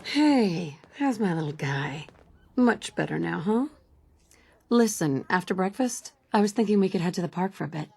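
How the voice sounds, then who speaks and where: An actress playing a warm, teasing young wife and mother in a video game, speaking casually. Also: lowish voice, lively intonation, moderate pace, gravelly, slightly rough, exaggerated voice. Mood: affectionate. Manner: affectionate, casual.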